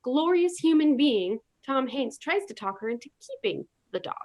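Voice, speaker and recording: A woman's voice: sits high, big pitch swings, moderate pace. A woman narrating a comedy story in an arch, sarcastic tone.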